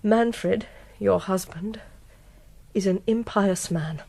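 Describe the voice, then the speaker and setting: A woman's voice: medium pitch, slow, vocal fry, mellow, no room sound. A young woman playing a proud, passionate noble maiden in a Gothic radio drama, declamatory and emphatic. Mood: outraged, anguished. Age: young adult.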